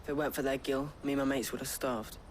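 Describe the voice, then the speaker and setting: A man's voice: mid-range voice, steady tone, fast talker, slight background noise, lightly acted. A male actor playing a poor, defensive, streetwise young pickpocket in a video game.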